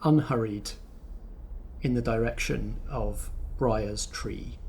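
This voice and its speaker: A man acting as game master, narrating a tabletop roleplaying game descriptively, with deliberate pauses between phrases. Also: on the low side, creaky, background noise.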